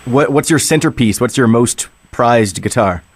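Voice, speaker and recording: A man's voice: mid-range voice, animated, normal speed. A man hosting a radio interview, asking questions in a conversational way. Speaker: radio host.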